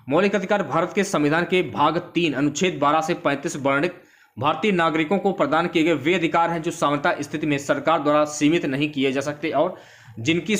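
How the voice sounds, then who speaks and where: A man's voice: fairly high pitch, a bit bright. A man giving an informal exam-prep lecture, explaining questions and answers and repeating key phrases for students.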